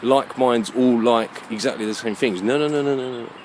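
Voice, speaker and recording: A man giving a rambling, halting monologue as he walks outdoors, musing and questioning himself. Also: mid-range voice, a little mellow, a little hiss or hum. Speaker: vlogger. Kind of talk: rambling walk-and-talk monologue. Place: outdoors, walking along a muddy alleyway.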